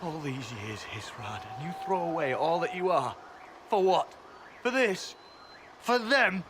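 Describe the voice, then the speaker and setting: An actor playing a stern, dutiful agent with a formal, disdainful manner in a fantasy video game. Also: male voice, fairly high pitch, expressive, measured pace, noisy background. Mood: bitter. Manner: formal, severe. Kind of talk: video game cutscene dialogue.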